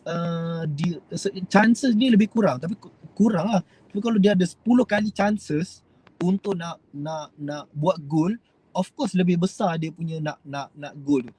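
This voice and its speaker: A man's voice: fairly high pitch, a little slow, darkish tone, breathy edge, low-quality recording. A young Malaysian man with a Malaysian Malay accent, giving a rambling, hesitant motivational talk to his viewers. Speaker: host.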